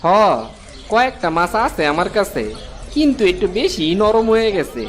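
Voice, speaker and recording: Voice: medium pitch, very animated, moderate pace, a little hiss or hum, lightly acted. An actor with a Bangladeshi accent voicing a brusque but kindly male fishmonger at a village market in a cartoon. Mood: relenting. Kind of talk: Bengali fairy tale cartoon dubbing.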